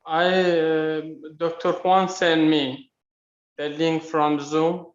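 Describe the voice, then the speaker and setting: Halting Afghan man, echoey call. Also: fairly high pitch, level tone. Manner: halting, non-native English. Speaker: guest, refugee. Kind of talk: personal account in a video meetup. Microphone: video call. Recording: echoey room, muffled video-call audio.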